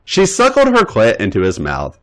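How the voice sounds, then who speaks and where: A man hosting a podcast, reading fan fiction aloud in an expressive storytelling manner. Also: mid-range voice, normal speed. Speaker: podcast host and reader.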